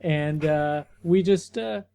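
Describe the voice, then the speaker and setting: A man with a slightly high voice co-hosting a comic podcast from home over a video call. He talks loosely and jokes a lot, with plenty of fillers. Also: gravelly, mellow, a little hiss or hum. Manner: loose, joking, halting with fillers. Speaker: podcast co-host. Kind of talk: casual chat.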